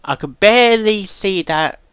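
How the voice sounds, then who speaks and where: A man hosting a reaction video, giving casual off-the-cuff commentary in a halting, rambling way and often repeating himself. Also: high voice, lively intonation, noisy background.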